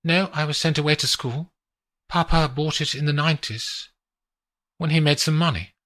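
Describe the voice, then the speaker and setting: A man voicing a calm young woman with a foreign lilt. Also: fairly high pitch, moderate pace, pitched above the performer's own voice, lightly acted. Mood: composed. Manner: soft, composed, with a foreign lilt. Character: calm young woman, a cool former assassin. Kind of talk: audiobook character voice.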